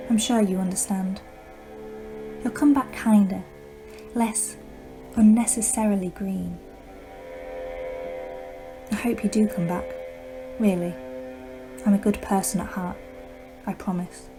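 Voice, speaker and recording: A woman's voice: mid-range voice, close to an everyday voice. Woman playing a sinister, confiding plant owner over music. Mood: sinister, wheedling. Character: sinister, wounded house-plant owner, self-justifying and unsettled. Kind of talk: radio-drama style poetic monologue.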